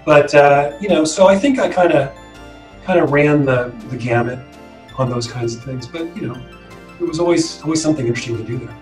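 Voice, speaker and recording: A man's voice: a little high, brisk. A middle-aged American man looks back in a loose, rambling, conversational way. He sounds away from the microphone in a reverberant room, with music in the background. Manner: rambling, hedging with frequent "you know". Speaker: interviewee, former student co-op member. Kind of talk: casual reminiscing interview. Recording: roomy, distant mic, music behind.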